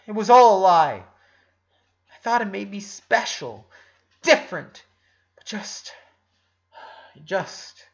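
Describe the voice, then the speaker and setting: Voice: medium pitch, gravelly, muffled recording, voice pushed up. A narrator voicing an anguished, defensive eleven-year-old boy who pleads and stammers in a dramatic story.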